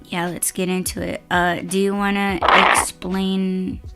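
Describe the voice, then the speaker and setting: Slow-talking hoarse woman podcast host. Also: fairly low pitch, level tone, over music, low-quality recording. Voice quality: raspy. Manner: laid-back, laughs often. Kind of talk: casual podcast chat.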